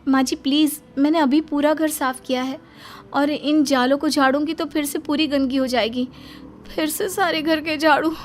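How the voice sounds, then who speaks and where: A woman's voice: high voice, steady tone, moderate pace, natural voice. A woman voicing a put-upon young daughter-in-law in a family cartoon, pleading and exasperated. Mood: weary, plaintive. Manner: pleading, plaintive, wryly exasperated. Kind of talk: Hindi family cartoon voice acting.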